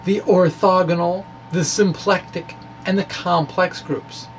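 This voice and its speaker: A man giving an informal, animated explainer of his own material, with wry asides and a gravelly edge to his voice. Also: sits high, unhurried, a little hiss or hum. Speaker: physics blogger presenting his own puzzles. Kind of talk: informal explainer, reading and discussing a blog.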